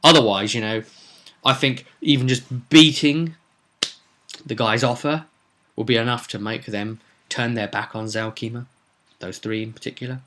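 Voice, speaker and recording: A man's voice: medium pitch, steady tone, normal speed, fairly bright tone, a little hiss or hum. A man hosting a casual solo vlog as a game master, explaining his plans for a tabletop game in an emphatic way.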